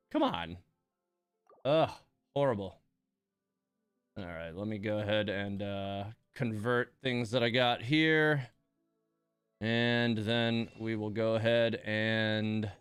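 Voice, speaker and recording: A man's voice: mid-range voice, clean tone, no room sound. A man hosting a game livestream, chatting casually with wry, mildly grumbling informality. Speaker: game streamer, host. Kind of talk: casual livestream commentary while playing.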